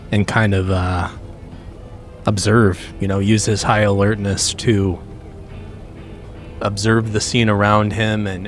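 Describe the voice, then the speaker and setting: A man who is a player in a tabletop roleplaying game, describing his character in a halting way. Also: fairly low pitch.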